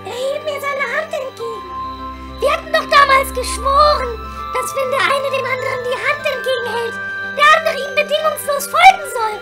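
A woman as a melodramatic high-voiced young elf. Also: moderate pace, heavy reverb, over music. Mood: excited explaining. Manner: theatrical, sighing, breathless repetition. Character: melodramatic young female elf, yearning and lovesick. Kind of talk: comedy audio play acting.

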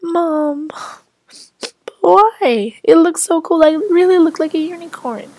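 A woman's voice: extremely high pitch, slow, a little mellow, dry recording, full character voice. A woman voicing a stubborn nine-year-old girl who pleads and whines. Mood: pleading. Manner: pleading, whiny.

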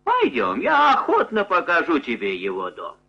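A sly little cobbler from a cartoon folk tale, high-pitched and swooping. He talks in an eager, exclamatory singsong, on a muffled old film track. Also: huge pitch swings, normal speed, gravelly, sharp tone, slightly rough, exaggerated voice. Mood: gleeful. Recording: dull old film soundtrack.

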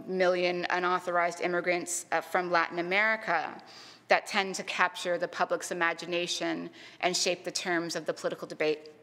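A middle-aged woman from Canada delivers a prepared history lecture in a voice of medium pitch. She speaks in a measured, earnest way and leans on key words with deliberate emphasis. Her voice carries a long reverb, as in a lecture hall. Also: a bit bright. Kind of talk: reading a prepared public lecture.

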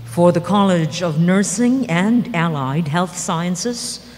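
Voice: medium pitch, animated, slow. An emcee formally announcing presenters at a graduation ceremony in a large hall. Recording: reverberant hall, faint noise.

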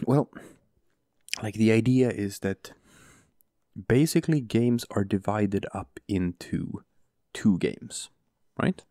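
A male podcast co-host with a booming voice, talking softly and slowly right next to the microphone in casual chat, often chuckling. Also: lively intonation, dry recording. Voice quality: booming, gravelly voice. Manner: soft, close-mic murmur, chuckles often. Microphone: close studio mic.

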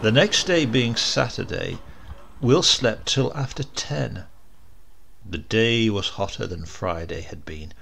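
A man narrating a horror short story dramatically, with deliberate, suspenseful, theatrical emphasis. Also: mid-range voice, creaky, fairly bright tone. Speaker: audiobook narrator.

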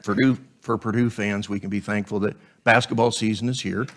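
Scratchy low-ish voice, guy in his 50s preaching at a church pulpit, headset mic, chatty with little jokes. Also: fairly even intonation, fairly quick. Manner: conversational, joking asides between scripture. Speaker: guest preacher. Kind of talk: sermon.

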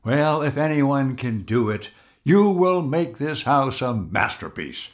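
A jovial male literary agent in an audio play. His mid-pitched voice leaps and swoops as he talks. He is effusive, chummy and always teasing. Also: lively intonation, slow pace. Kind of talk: audio drama dialogue.

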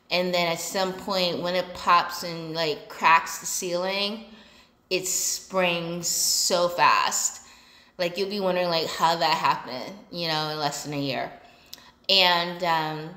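A woman in her thirties chatting casually to camera in unhurried, short phrases with pauses, recorded on a phone in a large indoor room. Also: on the low side, sharp tone. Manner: unhurried, pausing between short phrases while busy with chores. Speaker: solo entrepreneur and vlogger. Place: large indoor basement-like room with exposed pipes. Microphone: phone propped at about arm's length or more. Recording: echoey room.

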